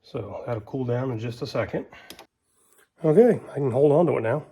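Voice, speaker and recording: A man's voice: medium pitch, lively intonation, moderate pace, mellow, husky. Folksy man giving a casual how-to. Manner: folksy, colloquial. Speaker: host of a DIY how-to video. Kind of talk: casual hands-on tutorial talk while working.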